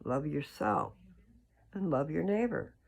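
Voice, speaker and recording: Gravelly, creaky voice, informal and folksy. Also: mid-range voice, very animated, slow pace. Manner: informal, folksy, checks in with "okay?". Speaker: host reading scripture aloud and explaining it. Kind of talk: scripture read aloud with casual, rambling commentary.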